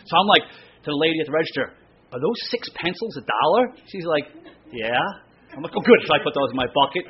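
A middle-aged man with a loud, somewhat high voice preaches from a church pulpit microphone, chatting through joking anecdotes before slowing down for scripture. The recording sounds a little dull and carries a faint hum of the room behind him. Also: big pitch swings. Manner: chatty, self-deprecating storyteller, slows down for scripture reading. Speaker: pastor. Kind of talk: sermon with casual anecdotes. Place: church sanctuary. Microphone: stand microphone, stays close.

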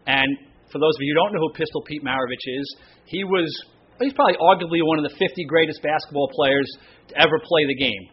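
A middle-aged man with a loud, somewhat high voice preaches from a church pulpit microphone, chatting through joking anecdotes before slowing down for scripture. The recording sounds a little dull and carries a faint hum of the room behind him. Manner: chatty, self-deprecating storyteller, slows down for scripture reading. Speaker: pastor. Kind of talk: sermon with casual anecdotes. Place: church sanctuary. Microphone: stand microphone, stays close.

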